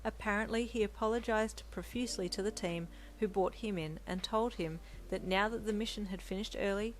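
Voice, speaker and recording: A woman voicing a cheerful, helpful male chief editor who talks in a bright, upbeat way. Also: medium pitch, a little hiss or hum, natural voice, rough recording.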